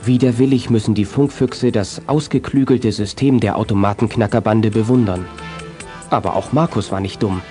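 A man's voice: fairly low pitch, normal speed, music in the background. A man reading scripted narration for a children's audio drama.

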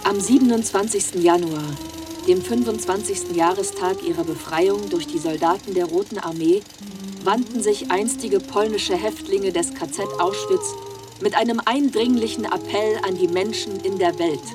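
Newsreader role for an actress: solemn, declamatory seventies state propaganda, medium pitch, clear music bed. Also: female voice, moderate pace, close to an everyday voice. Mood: solemn, urgent. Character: solemn East German state newsreader woman, emphatic propaganda tone. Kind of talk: formal 1970s news announcement.

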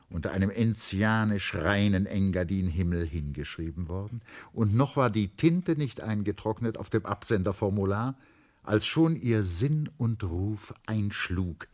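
A man reading literary narration for a radio drama in a low-pitched voice. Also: darkish tone.

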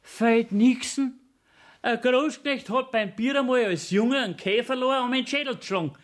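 A high-voiced, needling Bavarian clerk. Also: male voice. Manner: probing, insinuating, drawn-out. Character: sly, needling court clerk, mock-concerned and nosy. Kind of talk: dialect radio play acting, 1970s.

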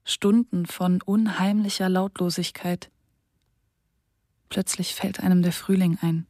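A woman reading a first-person account in a composed, measured radio drama narration. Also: on the low side, a little mellow, no echo, studio quality. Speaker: reader voicing a diarist.

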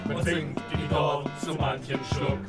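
Man playing jaunty male balladeer, high voice, mocking rhymes, slow pace, loud music behind. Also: flat delivery, lightly acted. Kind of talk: rhymed narration breaking into song.

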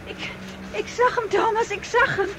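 High-voiced frightened woman on lookout. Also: fairly even intonation, a bit bright, slight background noise. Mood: panicked. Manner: breathless, halting, repeating words in panic. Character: frightened, agitated young woman lookout named Katrien. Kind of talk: Dutch radio drama acting.

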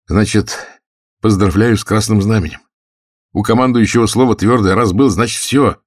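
A man's voice: on the low side, moderate pace, voice pushed up, close to an everyday voice. Casting: male reader as hearty headquarters adjutant, clipped military delivery, voice lifted, wartime audiobook. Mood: brisk, hearty.

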